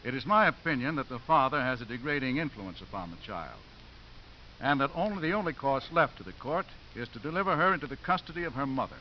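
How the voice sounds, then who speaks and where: An actor playing a formal courtroom lawyer in a 1950s radio drama, declamatory in delivery. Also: male voice, medium pitch, lively intonation, fast, natural voice. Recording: dull, hissy old radio recording.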